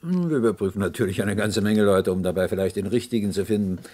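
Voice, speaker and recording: An actor plays a male police inspector in a radio crime drama. His voice sits fairly low. He questions courteously and a little hesitantly, but keeps gently insisting. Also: fast talker, close to an everyday voice. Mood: probing, courteous.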